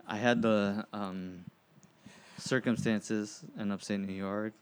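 A man's voice: fairly low pitch, steady tone, takes it slowly, clear, resonant tone. A man in his thirties, a guest on a casual podcast, talking in a laid-back, rambling way. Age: 30s.

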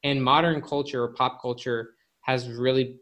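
A man in a casual interview setting, speaking as a guest author. His pitch sits in the middle range for a man, and he explains things directly to whoever is listening. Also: fairly even intonation, normal speed, a bit bright. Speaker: guest, author and organizational psychologist.

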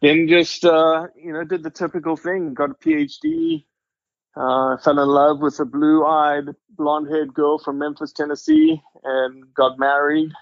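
A man's voice: fairly high pitch, sing-song, measured pace, vocal fry, dry recording, lo-fi sound. A man in his forties from South Africa with a South African accent, a podcast guest talking casually and humbly, with many restarts. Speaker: guest, wildlife scientist.

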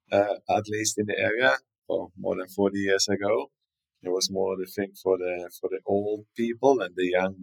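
Gravelly South Tyrolean man, halting. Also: lowish voice, a bit bright, rough recording. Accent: South Tyrolean German. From South Tyrol, northern Italy. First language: German. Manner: hesitant, repeats words, searches for phrasing. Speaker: winery guest. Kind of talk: podcast interview.

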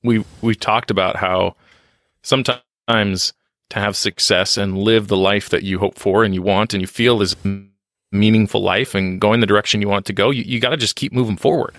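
A man's voice: lowish voice, steady tone, moderate pace, a bit bright, no echo. A man talking candidly in a podcast interview, reflective and self-deprecating, often restarting his sentences. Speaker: podcast guest on leadership and success.

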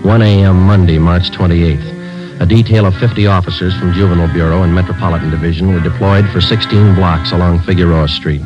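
Man acting as no-nonsense cop, low voice, quick clipped deadpan, old muffled radio show. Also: over loud music, natural voice. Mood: deadpan narration. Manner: deadpan, clipped, matter-of-fact. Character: terse, stern, no-nonsense male police detective. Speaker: lead actor and narrator. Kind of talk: 1940s radio crime drama acting and narration.

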